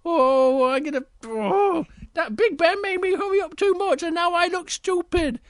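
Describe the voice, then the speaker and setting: A man puts on a little boy bear in a homemade children's story, pitched very high. He is flustered and easily embarrassed, full of exclamations, and recorded close and dry. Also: normal speed, dry recording. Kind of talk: improvised children's bedtime story.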